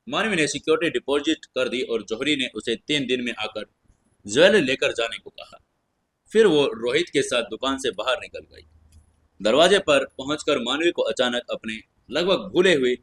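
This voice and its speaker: A man's voice: mid-range voice, a bit bright, breathy edge. A man narrating a fantasy audio story from a script.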